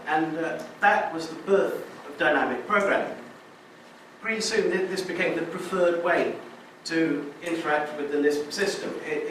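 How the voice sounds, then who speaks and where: Man, fairly high voice, conference talk, very echoey hall, distant mic, faint hiss. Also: a bit bright. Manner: many restarts and filler sounds, explanatory. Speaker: conference speaker. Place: lecture room.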